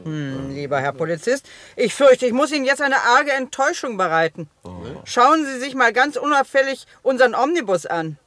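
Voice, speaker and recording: Ein Schauspieler spielt in einer Krimikomödie im Radio einen übertrieben höflichen, neckischen Bandenchef. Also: male voice, very high voice, big pitch swings, moderate pace, bright, forceful tone, dry recording, full character voice. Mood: politely menacing. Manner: exaggeratedly polite, teasing. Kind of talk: radio comedy crime drama acting.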